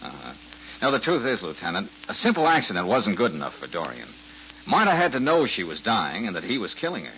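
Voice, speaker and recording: A folksy wisecracking radio detective. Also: male voice, on the low side, huge pitch swings, bright, forceful tone, heavily acted. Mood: explaining. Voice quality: halting, folksy, full of uhs. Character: wisecracking male detective, easygoing, sharp. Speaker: detective lead in radio drama. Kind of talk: 1940s radio acting. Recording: dull lo-fi old radio broadcast with faint hiss.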